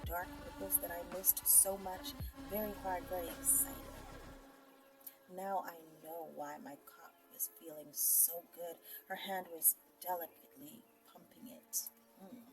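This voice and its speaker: A woman delivers a dramatized story narration with clearly audible music behind her. She sits a little away from the microphone. Her delivery is expressive, punching some words and dropping others into a whisper. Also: on the low side, distant mic, poor audio. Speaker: channel host reading an erotic story.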